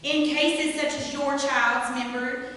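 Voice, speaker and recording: A woman's voice: high voice, fairly even intonation, measured pace, bright, forceful tone. A woman playing a gleefully cruel, officious head librarian in a theatrical satirical reading, prim and official with muttered malicious asides. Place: live staged reading in a reverberant room. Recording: echoey hall from far off, faint noise.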